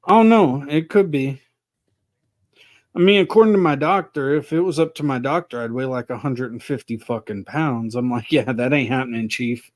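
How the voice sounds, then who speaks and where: A man's voice: medium pitch, moderate pace. A man in his forties chatting casually, easygoing, with frequent cursing and laughter. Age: 40s. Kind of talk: casual chat.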